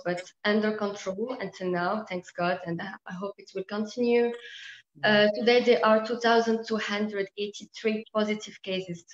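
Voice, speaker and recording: A woman in her twenties speaks with formal, measured care, as if presenting a prepared report. Her voice sits at a middling pitch. It comes through a dull, echoey internet connection. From Morocco. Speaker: student leader, webinar panelist. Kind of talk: prepared briefing on a webinar.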